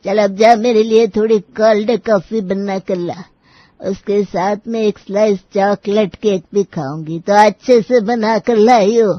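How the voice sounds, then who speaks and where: A woman playing a stern, sharp-tongued old village grandmother-in-law who scolds and commands in a cartoon story. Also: mid-range voice, steady tone, measured pace, no room sound, lo-fi sound, close to an everyday voice. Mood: stern, demanding. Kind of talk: acted dialogue in a cartoon moral story.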